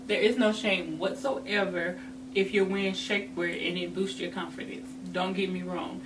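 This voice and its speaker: A woman's voice: on the low side, fairly even intonation, normal speed. A woman vlogger giving casual, chatty advice to camera in a sassy, emphatic way with informal slang. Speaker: host of a fashion advice vlog. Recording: distant mic with noticeable background noise.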